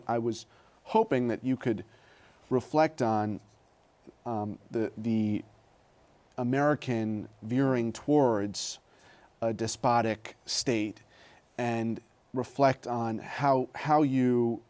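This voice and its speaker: A man hosting an interview, asking long, measured questions with some hesitation. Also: medium pitch, darkish tone. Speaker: interview host.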